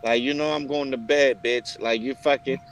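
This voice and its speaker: A man in casual group chat, telling stories in a profane, animated way. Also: medium pitch, moderate pace, a little hiss or hum, poor audio. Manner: profane, animated storytelling with frequent laughs. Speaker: participant in an online hangout.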